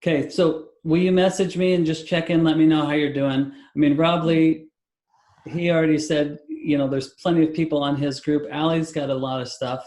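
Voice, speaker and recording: A man leading a live webinar as a spiritual healer, talking calmly and reassuringly in spiritual terms over a video call. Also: fairly high pitch, normal speed, reverberant. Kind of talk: live webinar healing session.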